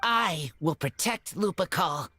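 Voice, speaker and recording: An actor voicing an earnest wild boy raised by wolves in a video game, husky and halting, in simple short phrases. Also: a little high, animated, noisy background. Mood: resolute. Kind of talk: video game character acting.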